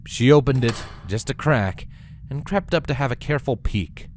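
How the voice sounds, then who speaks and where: A man narrating a scripted story with a dramatic, expressive delivery. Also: mid-range voice, moderate pace, slight background noise.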